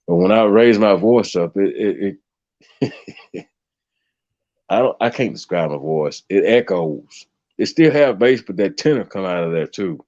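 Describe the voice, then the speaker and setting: A man with a deep bass voice hosting a casual livestream chat, given to preachy rants. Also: expressive, a little slow, long pauses, darkish tone, highs cut off. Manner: ranting, preachy asides. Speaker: stream host.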